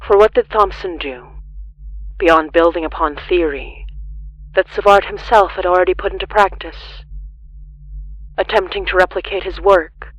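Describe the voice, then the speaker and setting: A narrator reading a scripted horror story in a solemn, deliberate way with dramatic pauses. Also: medium pitch, fairly even intonation, poor audio. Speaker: narrator of a horror fiction podcast.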